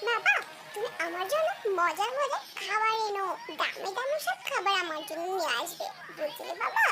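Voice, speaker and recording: A woman's voice: very high voice, big pitch swings, normal speed, loud music behind the voice. A woman voicing a lazy, bratty younger sister in a cartoon fairy tale, whiny and demanding. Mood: greedy, wheedling.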